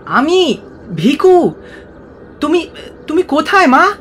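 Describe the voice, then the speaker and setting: A man's voice: very high-pitched, big pitch swings, slow, a bit bright, a little hiss or hum, exaggerated voice. A man playing an earnest, grieving young medical student and devoted son in a radio drama, emotional in delivery. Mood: eager, anxious. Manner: emotional, earnest, swinging to terse.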